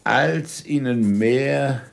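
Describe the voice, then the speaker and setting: An actor playing an older, sardonic and imperious scholar in a German radio drama, dictating deliberately with irony and curt commands. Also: male voice, mid-range voice, gravelly. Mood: dictating, ponderous.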